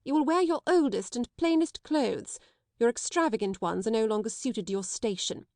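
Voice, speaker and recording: A woman's voice: a little high, animated, normal speed, dry recording. A woman voicing a stern, domineering middle-aged schoolmistress in an audiobook, cold and scolding, in a dry recording. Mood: harsh. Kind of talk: audiobook character voice.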